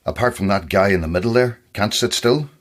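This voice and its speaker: An actor playing a cheeky, chatty man in an audio drama, with a husky voice and a teasing manner. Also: fairly low pitch, fairly quick, natural voice. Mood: wry, suspicious.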